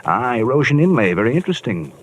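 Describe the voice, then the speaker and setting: An actor playing a fussy, absent-minded dentist in an old radio drama, hesitant and pedantic, muttering and repeating himself. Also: male voice, medium pitch, big pitch swings, fast talker, close to an everyday voice. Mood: absorbed, pedantic. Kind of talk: old-time radio character acting. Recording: noisy vintage radio recording.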